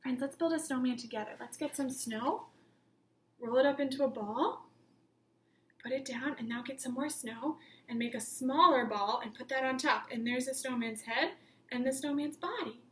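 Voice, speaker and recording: A woman teacher reading a picture book aloud to young children, with expressive emphasis and simple questions put to the listeners. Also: high-pitched, moderate pace, echoey room, a little hiss or hum. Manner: simple instructive phrasing, questions addressed to children.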